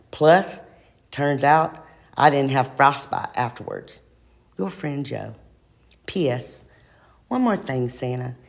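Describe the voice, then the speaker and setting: A woman's voice: very deep voice, creaky, exaggerated voice. A woman voicing a polite young storybook boy reading out his courteous, tentative letter to Santa.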